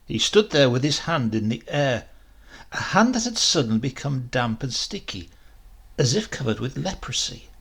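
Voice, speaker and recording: A man narrating a short story in a measured, expressive way, with deliberate pauses for drama. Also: mid-range voice, vocal fry, breathy edge. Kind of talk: dramatic scripted literary narration.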